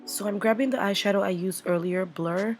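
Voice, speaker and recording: A woman's voice: mid-range voice, fairly even intonation, fairly quick. A young woman with a voice worn down by a cold, chatting her way through a makeup tutorial as a voice-over. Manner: chatty, playful, narrating each step. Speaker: makeup artist. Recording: voice-over with loud background music. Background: music.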